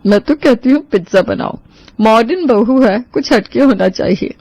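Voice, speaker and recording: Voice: mid-range voice, lively intonation, normal speed, a little mellow. A performer voicing a teasing, blunt young relative or friend in a family comedy story. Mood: opinionated.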